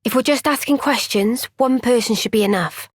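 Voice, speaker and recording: An actress voicing a young woman soldier in a game cutscene, soft-spoken and caring. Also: on the high side, normal speed, breathy edge, no room sound, studio quality.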